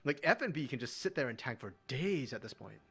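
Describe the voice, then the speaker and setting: A man gives colour commentary on a live esports match, working through each team's choices out loud. His pitch swings widely as he talks, so he sounds animated and engaged even while he explains slowly. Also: breathy edge. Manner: analytical, lively intonation. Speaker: colour caster.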